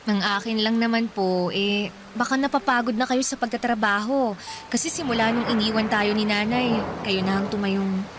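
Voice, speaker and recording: An actress playing a devoted young daughter, earnest and caring in a radio drama. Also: female voice, a little high, steady tone, normal speed, background noise, lightly acted. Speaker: voice actor.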